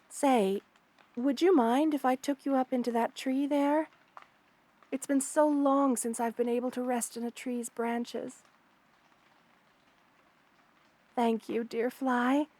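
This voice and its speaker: A woman playing a loving, protective spider queen in an ASMR roleplay, speaking tenderly and with gentle regal poise. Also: high voice, steady tone, slow pace, long pauses. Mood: affectionate. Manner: tender, nurturing, gently regal, addresses listener with endearments. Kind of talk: ASMR roleplay, intimate character acting.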